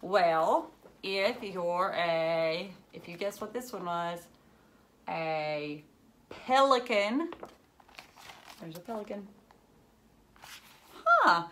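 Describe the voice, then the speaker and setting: Slow-paced woman reading to children, noisy recording. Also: fairly low pitch. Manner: expressive, animated reactions with gasps and questions to young listeners. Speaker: teacher reading a children's book aloud. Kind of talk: children's read-aloud with asides. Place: indoors, living room at home.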